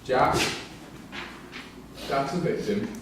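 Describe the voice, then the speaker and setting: An actor playing a cheeky, stubborn prisoner with a contrary, questioning manner in a staged reading. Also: male voice, medium pitch, very slow. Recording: distant echoey hall recording, clear noise.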